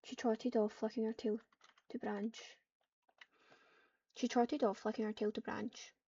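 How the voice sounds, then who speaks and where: A woman's voice: a little high, little pitch movement, a little slow, mellow. A woman casually voicing online game roleplay, reading out narration and dialogue lines. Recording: dull mic, distant, clear background noise.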